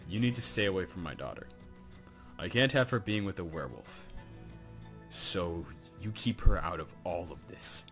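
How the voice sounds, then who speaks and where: Man voicing stern hunter dad, very deep voice, middle-aged, formal, measured, threatening, roleplay drama. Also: lively intonation, a bit bright, soft background music. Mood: stern threat. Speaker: voice actor in a roleplay series. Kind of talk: scripted roleplay acting.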